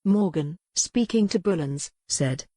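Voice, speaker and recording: Low, dark-voiced woman narrating a novel. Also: no echo, studio quality. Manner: even, measured storytelling. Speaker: audiobook narrator.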